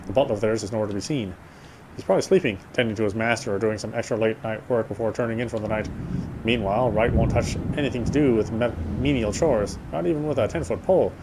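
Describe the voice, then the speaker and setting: Male game player, dramatic read-aloud narration, medium pitch, faint background ambience. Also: a little mellow, slight background noise.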